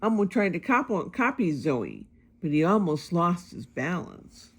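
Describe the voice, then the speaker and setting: A woman's voice: lowish voice, very animated, a little slow, slightly rough. A woman narrating a children's picture book aloud as a storybook reader.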